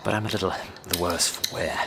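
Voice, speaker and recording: A man's voice: low-pitched, fairly even intonation, a little fast. A man voicing a worn-out, grateful prisoner with a dry, understated manner in a video game cutscene. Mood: weary relief. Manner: weary, understated, dry wit. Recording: game audio with clear noise behind.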